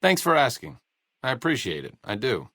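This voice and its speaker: An actor playing a sarcastic, idealistic wasteland doctor in a video game, with an American accent and a dry, articulate manner. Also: male voice, medium pitch, wildly swooping pitch, normal speed, sharp tone, no echo, full character voice. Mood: wry, friendly. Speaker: video game companion character. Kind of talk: video game voice acting, conversational dialogue.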